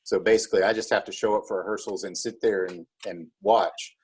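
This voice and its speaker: A man being interviewed as a school drama club director, explaining in a casual, halting way. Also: low voice, steady tone, a little mellow, slightly rough.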